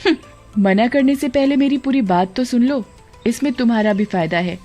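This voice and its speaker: A woman's voice: a little high, moderate pace, mellow, lightly acted. A woman voicing a witch disguised as a bold young woman in a cartoon, coaxing and insistent. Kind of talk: Hindi cartoon story voice acting. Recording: background music.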